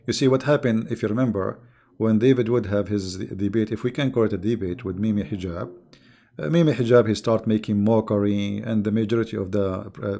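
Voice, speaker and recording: A man's voice: on the low side, gravelly, dark tone. A man whose first language is Arabic delivers a combative, mocking monologue in broken English grammar. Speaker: online religious debater. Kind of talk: casual ranting monologue.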